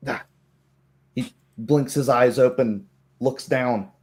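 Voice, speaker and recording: A man narrating a tabletop roleplay game as game master, telling it in a measured, descriptive way. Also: medium pitch, steady tone, a little mellow.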